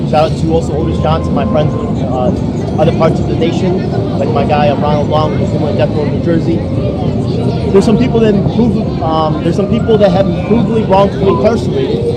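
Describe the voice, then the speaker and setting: A man rushes through long, rambling questions as he stops a candidate on the street. His voice is fairly high and he talks quickly. Loud traffic runs behind him. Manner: rambling, chatty questions with shout-outs. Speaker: street interviewer and activist. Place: outdoors on a city street with traffic.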